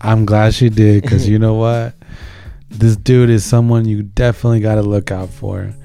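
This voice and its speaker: Low-voiced man co-hosting, mellow tone. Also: level tone, moderate pace, vocal fry, faint music behind. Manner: informal, slangy, enthusiastic. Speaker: music show co-host. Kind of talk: casual chat introducing songs.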